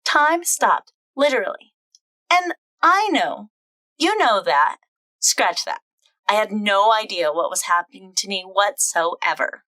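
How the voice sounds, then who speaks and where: A woman's voice: mid-range voice, expressive, unhurried, sharp tone, no echo. A woman playing a wry, candid young comic-book heroine, narrating in a confiding, self-deprecating way. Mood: wondering. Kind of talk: dramatic reading of comic excerpts.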